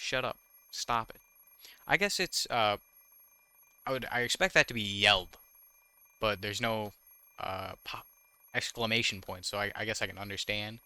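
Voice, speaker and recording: A man's voice: lowish voice, moderate pace, long pauses, pushed and bright, clean tone, no echo. A man reading visual novel text aloud with casual, wry commentary, sometimes stumbling over the words. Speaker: gaming YouTuber, host.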